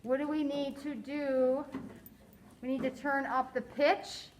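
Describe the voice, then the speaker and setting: A woman teaching a science lesson to a class, quizzing her students in a slow, deliberate way. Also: high voice, steady tone, a little hiss or hum.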